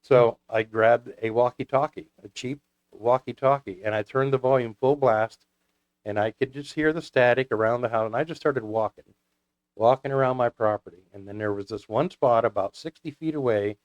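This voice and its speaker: A man's voice: mid-range voice, moderate pace. Man chatting casually, slightly dull audio. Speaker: guest, CB radio hobbyist. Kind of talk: casual hobby talk with anecdotes.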